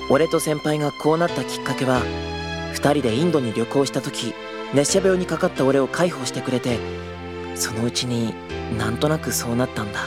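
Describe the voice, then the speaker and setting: A man's voice: mid-range voice, a little fast, natural voice. Guy voice acting young junior travel agent, polite n flustered, earnest romantic type, audio drama w music under it. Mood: tender, reflective. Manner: polite, easily flustered, stammering. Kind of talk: Japanese audio drama acting with intimate narration.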